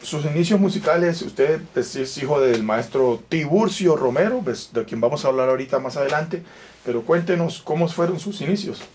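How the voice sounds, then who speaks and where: A man's voice: a little high, lively intonation, moderate pace, a little mellow, a little hiss or hum. A man interviewing a musician, asking short questions and offering brief encouraging prompts. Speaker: interviewer, researcher.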